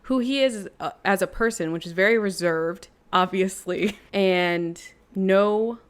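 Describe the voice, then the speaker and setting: A woman's voice: mid-range voice, unhurried, smooth. A woman co-hosting a streamed show, talking casually and eagerly about a character she loves. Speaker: podcast co-host.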